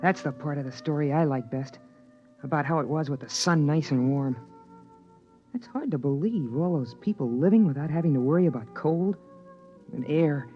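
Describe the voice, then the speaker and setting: A man's voice: a little high, normal speed, close to an everyday voice. A man playing a young son who narrates his family's life, in earnest, wondering 1950s radio drama style. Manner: earnest, wide-eyed first-person narration. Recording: dull 1950s radio broadcast with music behind.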